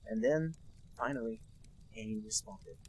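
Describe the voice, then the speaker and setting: A man's voice: mid-range voice, measured pace, dark tone, slight background noise, low-quality recording. A young man, podcast co-host, narrating in a mock-serious comedic reading with dry asides.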